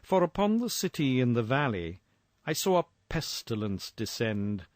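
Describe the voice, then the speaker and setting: A man reading a gothic horror tale as a scripted narration, in a measured, literary storytelling manner. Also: mid-range voice, sing-song, dry recording, studio quality.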